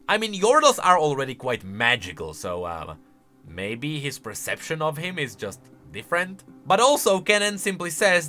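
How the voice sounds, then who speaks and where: Animated, bright-voiced man doing commentary. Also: a little high. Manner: emphatic, conversational. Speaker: video host. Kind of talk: casual explanatory game lore commentary.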